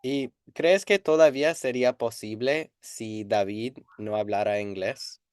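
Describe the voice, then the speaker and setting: A man's voice: medium pitch, darkish tone, clean tone, no room sound, studio quality. A man interviewing a guest in a casual chat, friendly and encouraging. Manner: friendly, encouraging, quick reactions. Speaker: interviewer, Spanish teacher.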